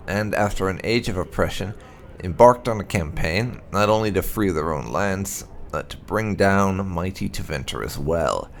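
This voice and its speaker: Creaky man reading fantasy lore aloud. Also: low voice, a little hiss or hum. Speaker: gaming channel host. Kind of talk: reading game lore aloud as scripted narration.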